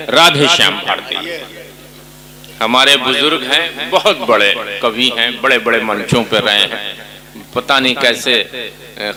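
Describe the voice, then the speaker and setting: A man hosting a poetry stage, introducing poets courteously and cheering them on with quick words of approval. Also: mid-range voice. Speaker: event anchor. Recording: live event with crowd noise.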